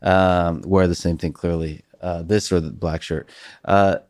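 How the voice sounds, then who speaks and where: Man, podcast host, very low voice, smooth, dry close sound, halting wry delivery. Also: steady tone, darkish tone, dry recording. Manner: halting, self-deprecating, wry. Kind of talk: conversational interview.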